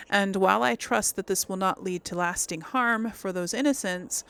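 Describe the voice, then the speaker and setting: Casual woman gamer with a creaky voice. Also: fairly low pitch, a bit bright, a little hiss or hum. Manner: casual, chatty, thinking aloud, self-deprecating. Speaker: host of a role-play game series. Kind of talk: let's play commentary with reading aloud of in-game quest notes.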